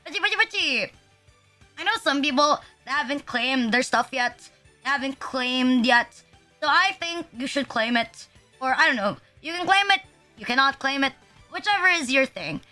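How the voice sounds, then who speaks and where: A high, bright-toned young woman chats loosely on a livestream, her nose a little stuffed and sniffly. She rambles and jokes at her own expense, dropping swears along the way. Soft music plays faintly behind her. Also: lively intonation, moderate pace. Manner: rambling, casually profane, self-deprecating. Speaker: VTuber streamer. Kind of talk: casual livestream chat.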